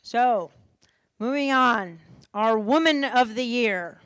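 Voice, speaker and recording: A woman's voice: a little high, expressive, slow pace, fairly bright tone, slight background noise. A woman emceeing an awards ceremony, speaking steadily and formally as she announces honorees. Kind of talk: ceremony presentation. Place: awards event hall.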